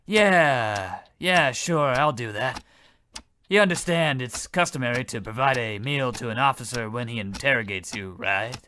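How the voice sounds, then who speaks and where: An actor playing a blundering, self-important, freeloading cop in an old-time radio comedy, rambling in a folksy, oblivious way. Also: male voice, mid-range voice, expressive, moderate pace, sharp tone, a little hiss or hum. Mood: matter-of-fact.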